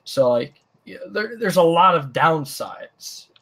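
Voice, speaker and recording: A man in a casual livestream discussion, explaining his opinions in a halting way and repeating phrases. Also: fairly high pitch, lively intonation, sharp tone. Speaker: livestream talk participant.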